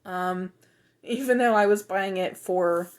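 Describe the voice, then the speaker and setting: A woman of twenty-five, talking in a reflective, hesitant way with many pauses and restarts for a personal video journal. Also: mid-range voice.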